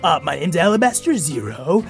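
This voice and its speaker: A man with a creaky voice and an excitable, theatrical manner, hosting and acting out an improvised adventure story for children. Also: on the high side, a little fast, soft background music. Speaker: host and storyteller. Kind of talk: improvised kids' adventure story, narrated and acted.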